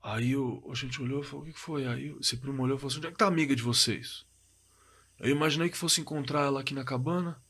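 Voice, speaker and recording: A man with a Brazilian accent playing a shaken young man recounting a frightening night in a halting, colloquial way, in a horror audio drama. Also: medium pitch, fairly even intonation, a bit bright, husky. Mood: uneasy. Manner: halting, repeating words, colloquial. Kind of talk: dramatized first-person horror story, told conversationally.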